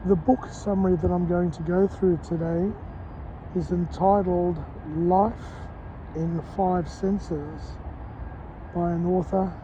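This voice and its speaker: A croaky-voiced man walks outdoors at dawn, filming a vlog as he goes. He speaks in short, slow phrases. The muffled recording picks up clear traffic noise around him. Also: sits high. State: hoarse, croaky voice. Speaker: vlogger. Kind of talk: walk-and-talk book summary vlog. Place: outdoors on a city street at dawn.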